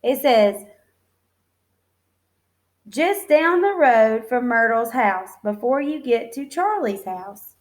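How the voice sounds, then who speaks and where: High-voiced woman reading aloud to children. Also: slow, long pauses. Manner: simple child-directed phrasing, expressive, encouraging. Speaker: teacher reading a picture book to young children. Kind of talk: read-aloud storytelling with explanatory asides and questions to children.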